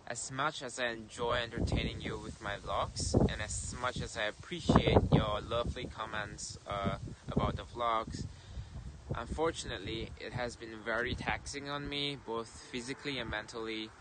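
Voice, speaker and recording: A man's voice: medium pitch, fairly even intonation, normal speed. A young man giving a spoken announcement to camera out in an open field. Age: young adult. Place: outdoors, open grassy field. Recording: loud outdoor wind and rustling noise.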